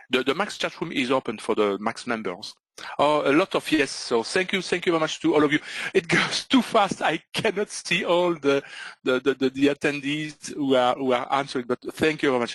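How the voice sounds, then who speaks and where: A middle-aged Belgian man, not a native English speaker, guides a live online webinar. He halts often, filling gaps and restarting phrases. His voice sits at an ordinary pitch in a dry, close recording. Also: a bit bright, no room sound, rough recording. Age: middle-aged or older. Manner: hesitant, many fillers and restarts. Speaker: trading webinar presenter.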